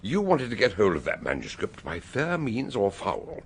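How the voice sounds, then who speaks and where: An actor in a radio drama playing a shrewd, blunt police superintendent with a brusque, knowing authority. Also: male voice, on the low side, wildly swooping pitch, moderate pace, a little hiss or hum. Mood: pressing, dry.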